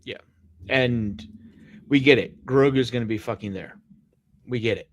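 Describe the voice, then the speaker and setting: A man's voice: medium pitch, measured pace, a little hiss or hum. A man co-hosting a podcast, chatting casually about films in a blunt, profane, grumbling way. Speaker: podcast co-host. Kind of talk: casual chat, film commentary.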